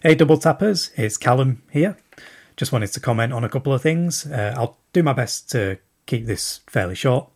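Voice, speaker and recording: A man's voice: mid-range voice, lively intonation, normal speed, husky. A man leaving a casual voice message as a listener to a show.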